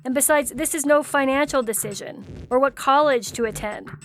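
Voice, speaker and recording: A woman's voice: high-pitched, moderate pace, faint music behind, natural voice. An actress playing a distraught, defiant young woman in love in an audio story. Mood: defiant, pleading. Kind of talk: dramatic reading of audio fiction.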